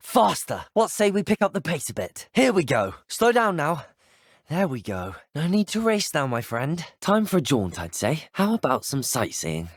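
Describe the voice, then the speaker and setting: A man's voice: on the high side, wildly swooping pitch, measured pace, no room sound, studio quality, exaggerated voice. A young man with a British accent, acting as a polite, curious teenage wizard schoolboy in a video game, speaking in a courteous, formal, well-spoken way. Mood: excited, urging, then fond, easygoing. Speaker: voice actor for a player character. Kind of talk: video game character dialogue.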